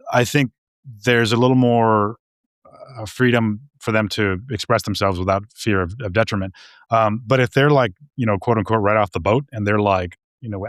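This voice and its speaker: A man with a fairly low voice talks through ideas on a podcast, circling back and repeating himself as he thinks. His voice sounds dry and close to the mic. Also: no room sound. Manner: hesitant, restarts and repeats words often. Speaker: podcast co-host. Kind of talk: casual discussion.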